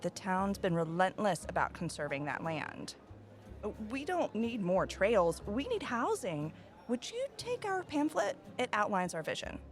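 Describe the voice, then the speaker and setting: A woman's voice: medium pitch, sing-song, unhurried, faint music behind. An actress playing an insistent woman housing activist in an audio drama, speaking earnestly and pressingly. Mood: earnest, pushy.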